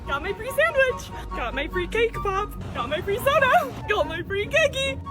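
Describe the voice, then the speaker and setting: A woman voicing a gushing, fake-sweet suburban mom in a comedy skit, her voice pitched up by a voice changer. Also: sing-song, moderate pace, a loud crowd. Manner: gushing, girlish, exaggerated. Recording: music behind the voice.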